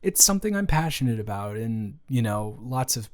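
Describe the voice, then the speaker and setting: A young man playing a gentle, awkward roommate with a crush in an improvised roleplay audio, hesitant and reassuring as he talks. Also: mid-range voice, sing-song, moderate pace, natural voice. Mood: tender, shy.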